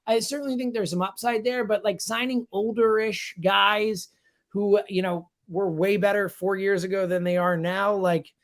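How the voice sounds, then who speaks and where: A man's voice: sits high, fairly even intonation, normal speed. A man giving blunt, opinionated sports analysis as a guest commentator, in casual, slangy and emphatic talk. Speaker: sports radio producer and host, guest commentator.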